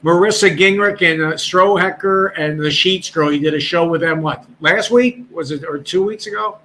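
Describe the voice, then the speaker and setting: A man's voice: on the high side, normal speed, bright, forceful tone. A man, a former radio broadcaster talking as a guest in a rambling, chatty way. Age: 60s. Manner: rambling, chatty, many restarts. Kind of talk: casual chat on a call-in show.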